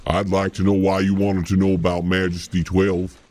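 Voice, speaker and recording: A man playing a crude, laconic cartoon tough guy with deadpan, folksy wit. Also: deep voice, moderate pace, lightly acted. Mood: annoyed. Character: laconic, crude, hard-drinking tough guy of misfit crew.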